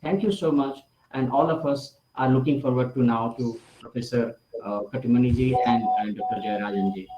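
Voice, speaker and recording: A man's voice: medium pitch, steady tone, a little fast, hardly any pauses, warm tone, faint music behind. A man who gives brief, courteous closing remarks and thanks the next speakers. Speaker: previous speaker. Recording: echoey, distant, faint noise.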